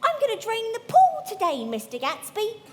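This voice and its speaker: A folksy, fussing gardener in a very high, swooping voice. The delivery is chirpy live radio drama, with music playing underneath. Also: female voice, wildly swooping pitch, normal speed, heavily acted. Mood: chirpy, matter-of-fact. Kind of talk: live radio play acting.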